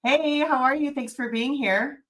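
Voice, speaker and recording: A woman's voice: high-pitched, a little slow, a bit bright. A woman hosting a local podcast, asking questions in a friendly, affirming way during a casual interview. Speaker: podcast host.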